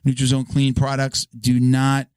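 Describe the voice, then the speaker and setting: Hoarse podcast host reading ads. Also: male voice, medium pitch, completely flat delivery, unhurried, a little mellow, dry recording, clean studio sound. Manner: stumbling, filler-heavy ad reads. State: hoarse, losing his voice.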